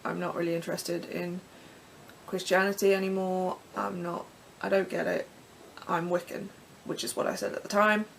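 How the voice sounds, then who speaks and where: A young Englishwoman with a croaky throat, rambling casually through vlog questions from her bedroom with plenty of ums and restarts. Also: fairly low pitch, flat delivery, moderate pace, fairly bright tone, slight background noise, low-quality recording. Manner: chatty, self-deprecating, many ums and restarts. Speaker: pagan vlogger. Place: bedroom in front of her altar.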